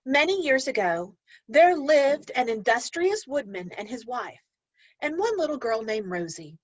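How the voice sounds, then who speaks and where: A woman's voice: high-pitched, sing-song, unhurried, dry recording, dull audio. A woman teacher reading a storybook aloud to young learners, speaking expressively and instructively and addressing the children directly.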